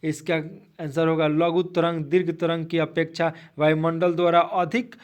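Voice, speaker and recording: A man teaching an exam-prep quiz, reading out questions haltingly and repeating the answers to his listeners. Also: a little high, little pitch movement, clear, resonant tone. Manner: repetitive, asks then restates answers, addresses listeners as friends.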